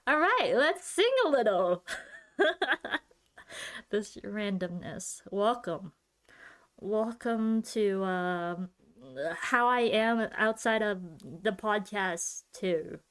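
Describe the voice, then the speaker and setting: A woman's voice: medium pitch, animated, extremely slow, fairly bright tone. A woman hosting her own podcast alone, talking casually and thinking aloud with a giggly, loopy manner. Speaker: podcast host and streamer. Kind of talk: casual rambling solo podcast talk.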